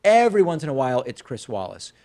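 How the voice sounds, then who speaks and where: American male talk show host, medium pitch. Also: sing-song, fast talker, darkish tone. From Argentina, moved to the United States at five. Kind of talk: conversational storytelling and commentary.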